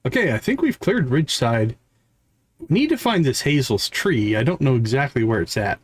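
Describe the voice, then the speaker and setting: A man's voice: mid-range voice, sing-song, normal speed, husky, no room sound. A man giving casual, chatty livestream game commentary, playful and given to singsong humming and repeated words. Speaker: livestream gamer host.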